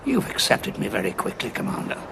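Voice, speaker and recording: An actor playing a weary male time traveller in an audio drama, probing and dry-witted, with a gravelly voice. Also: lowish voice, huge pitch swings, brisk, noisy background, exaggerated voice. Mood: resolute.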